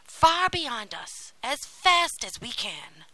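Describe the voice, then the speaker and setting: A woman's voice: sits high, very animated, slow pace, pushed and bright, no echo, voice pushed up, exaggerated voice, rough recording. A woman voicing a merry, exclaiming little boy in a storybook tale. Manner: cheerful, exclaiming. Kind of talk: storybook character acting.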